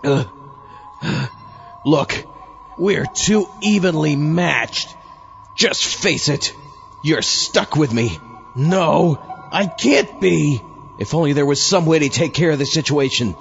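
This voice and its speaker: A man's voice: on the high side, lively intonation, slow pace, gravelly, long pauses, lo-fi sound, faint music behind. A man hosting a horror podcast with theatrical, hammy comic delivery. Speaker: podcast host. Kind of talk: comic horror-host skit.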